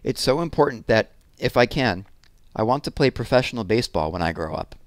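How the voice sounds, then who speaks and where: A man's voice: medium pitch, expressive, a little fast, no room sound, close to an everyday voice. A man voicing an earnest, eager boy who pleads seriously with his mother in a story read aloud.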